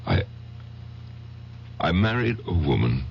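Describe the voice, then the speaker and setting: An actor playing a meek, anguished man who speaks haltingly and hesitantly, in old-time radio drama style. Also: low voice, very animated, creaky, a little hiss or hum, exaggerated voice. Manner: halting, hesitant, self-effacing. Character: meek, anguished, insignificant man breaking long silence. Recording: dull radio recording with organ music.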